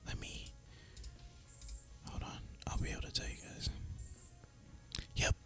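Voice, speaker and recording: A young man softly whispering ASMR gameplay commentary in a breathy, casual, rambling way. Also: unhurried, faint music behind. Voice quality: soft breathy unvoiced whisper. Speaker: gaming ASMR YouTuber.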